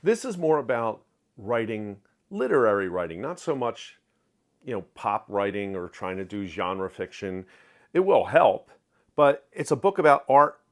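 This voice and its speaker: A middle-aged man giving a candid, opinionated book review in a home study, talking close to the camera with lively hand gestures. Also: lowish voice, measured pace. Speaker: book vlogger. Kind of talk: casual reading wrap-up review. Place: home study with shelves of collectibles. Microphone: webcam framing, close to camera.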